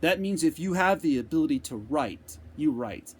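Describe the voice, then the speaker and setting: A man giving an unscripted motivational talk, speaking slowly and deliberately with repeated phrases, answering a viewer's question. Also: on the high side, animated, slightly rough. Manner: slow, deliberate, repetitive phrasing.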